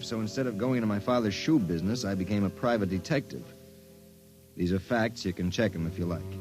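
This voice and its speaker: An actor playing a hard-boiled private eye. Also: male voice, lowish voice, a little mellow, close to an everyday voice. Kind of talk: 1950s radio drama acting and narration.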